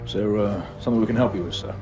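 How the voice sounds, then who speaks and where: A man's voice: fairly low pitch, rapid-fire, music in the background, natural voice. A man playing a cocky, teasing seasoned soldier in a military video game cutscene. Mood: easygoing.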